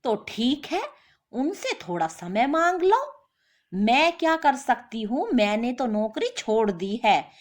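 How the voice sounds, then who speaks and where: A woman's voice: fairly high pitch, very animated, slow pace. A woman voicing a fed-up working wife in a moral story, reproachful and sarcastic. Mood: firm, fed up.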